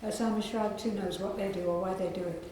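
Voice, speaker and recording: A woman with a soft, croaky voice and a mellow, dark tone reads from her own novel, measured and unhurried. She speaks in a very echoey library room with faint background noise. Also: mid-range voice, steady tone. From England. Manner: measured reading with clearing of the throat. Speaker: author reading her novel. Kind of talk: reading aloud from a novel at a library event.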